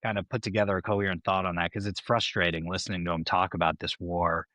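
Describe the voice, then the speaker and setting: A man's voice: sits low, little pitch movement, fast, sharp tone, dry recording. A young man co-hosting a political podcast, rambling and exasperated, with many fillers and restarts. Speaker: podcast co-host. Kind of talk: casual political chat.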